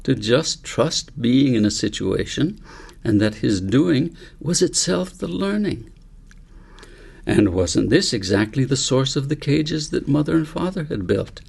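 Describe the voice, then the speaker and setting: Guy reading a storybook out loud, gravelly and a bit raspy, measured and thoughtful. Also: medium pitch, animated. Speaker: author reading own book aloud. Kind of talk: audiobook narration of a story.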